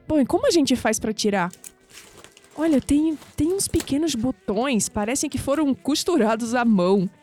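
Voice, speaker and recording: High-voiced, curious young Brazilian woman, acted. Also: expressive, moderate pace, warm tone, soft background music. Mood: curious, intrigued. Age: young adult. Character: curious young woman uncovering family secrets, sceptical. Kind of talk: audio drama acting.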